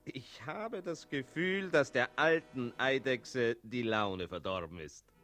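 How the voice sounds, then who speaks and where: Sly narrator cat, radio drama: wry, leisurely, very expressive pitch. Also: male voice, soft background music. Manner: wry, unhurried storytelling with meows. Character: sly, wry male cat commenting on the townsfolk. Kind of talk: 1960s German radio play narration.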